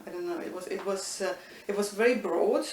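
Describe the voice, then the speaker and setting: A woman's voice: mid-range voice, brisk, darkish tone. Woman, roomy and distant. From Estonia. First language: Estonian. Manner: thoughtful, frequent hesitations and restarts. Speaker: interviewee, linguistics professor. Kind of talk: reflective interview answers. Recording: echoey room, distant mic, faint noise.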